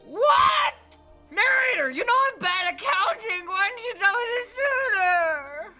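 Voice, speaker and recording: A woman playing an excitable, scatterbrained character in a comic audio drama, rambling dramatically. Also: very high-pitched, measured pace, faint music behind, full character voice. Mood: shocked, upset. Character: excitable, scatterbrained female podcast character ranting about immortality.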